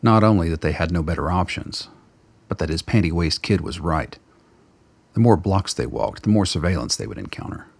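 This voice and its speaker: Deep, gravelly male narrator. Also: animated, a little fast, no echo. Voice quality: gravelly, husky. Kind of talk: dramatic scripted storytelling.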